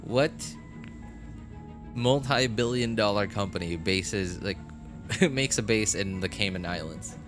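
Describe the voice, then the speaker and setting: A man's voice: fairly low pitch, a little slow. A man chatting casually on a gaming livestream, rambling and correcting himself as he goes, with a chuckle now and then. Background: background music.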